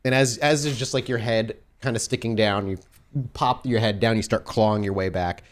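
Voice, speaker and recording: Deep-voiced male game storyteller. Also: fairly even intonation, moderate pace. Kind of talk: descriptive tabletop game narration.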